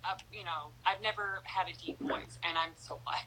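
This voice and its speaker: Young woman, chatty, bright tone, a bit on the low side, faint hum behind. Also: normal speed, rough recording. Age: young adult. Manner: chatty, fillers like "like" and "you know", laughs easily. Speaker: interview guest. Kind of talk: casual interview.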